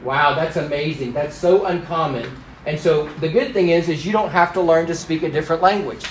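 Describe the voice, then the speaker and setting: A man in his fifties who grew up in Thailand, teaching a church class in an animated, joking way and teasing the people in it. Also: on the high side, fairly quick, slight background noise. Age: 50s. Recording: echoey room.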